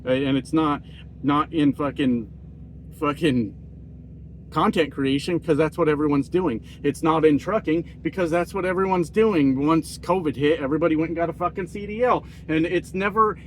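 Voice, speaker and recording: A man's voice: a little high, a little hiss or hum. A man with a nasal voice, a truck driver ranting in a casual, profane and self-mocking vlog monologue. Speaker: trucker vlogger.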